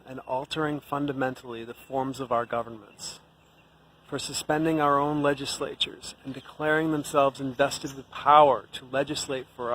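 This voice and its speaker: A man's voice: medium pitch, rough recording. A man reading a formal historical document aloud. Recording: faint background noise.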